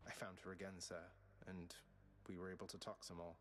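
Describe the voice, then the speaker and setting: Low, quick young underworld prince. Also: male voice, level tone, fairly bright tone. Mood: earnest. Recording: noisy game audio under stream.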